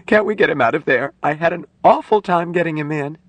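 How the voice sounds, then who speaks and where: A man voicing a distraught young woman, a timid but insistent nurse, in an audiobook with halting, pleading speech. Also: dry recording, lightly acted. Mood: shrill, tearful. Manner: pleading, halting, shrill when upset.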